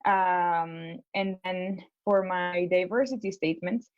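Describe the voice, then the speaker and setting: A woman's voice: on the low side, slow pace, no room sound, dull audio. A young woman from Spain, a law school applicant, talking through her plans in a casual consultation call, hesitant with many fillers and restarts.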